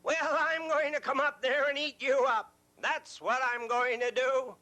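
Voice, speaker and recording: A man's voice: extremely high pitch, steady tone, voice pushed up, heavily acted. A man doing a bellowing bridge troll. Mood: angry. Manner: drawn-out yelling threats. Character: angry bridge troll, male, big and bossy.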